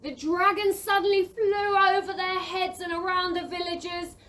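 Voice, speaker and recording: A woman narrating an amateur audio play in an expressive storybook style, recorded in a noisy room. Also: very high voice, moderate pace. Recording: noisy room, slightly distant mic.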